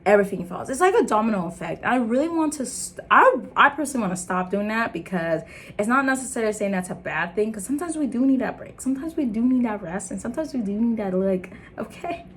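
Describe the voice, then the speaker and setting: Earnest, animated young American woman. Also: brisk, slight background noise. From Liberia, raised in the United States. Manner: earnest and animated, laughing, jumping into high excited bits. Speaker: content creator. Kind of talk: casual motivational vlog talk.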